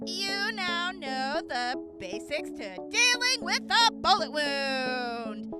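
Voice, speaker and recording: Woman acting a very high-pitched, cheeky young girl. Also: sing-song, slow, full character voice. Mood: perky, instructive. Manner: perky, sing-song, sassy. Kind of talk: post-apocalyptic radio drama acting. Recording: music playing behind the voice.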